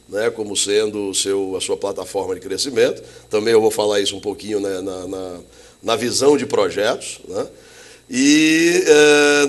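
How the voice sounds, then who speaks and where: A man's voice: lowish voice, fairly bright tone. Middle-aged man, interview guest, tells stories, chatty and easygoing. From Bahia, Brazil. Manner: chatty, anecdotal, frequent tag questions. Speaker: interview guest, logistics executive. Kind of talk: relaxed storytelling in an interview.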